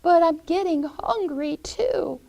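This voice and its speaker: A woman voicing a frightened little girl in a storybook tale, high and pleading. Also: lively intonation, slow pace, creaky, mellow, heavily acted. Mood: scared, pleading. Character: scared little girl Gretel.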